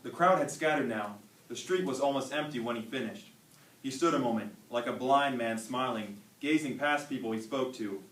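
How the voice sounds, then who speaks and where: A man giving a dramatic staged reading, part storytelling and part preaching, with deliberate, emphatic phrasing. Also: mid-range voice, a bit bright. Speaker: student performer reading a dramatic sermon. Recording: echoey hall, recorded from far off.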